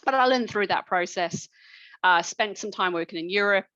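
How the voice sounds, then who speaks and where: A woman's voice: mid-range voice, moderate pace, bright, forceful tone, no echo. A woman in her forties giving a reflective personal career talk at a mentoring event, with many ums and restarts. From New Zealand. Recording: dull lo-fi sound.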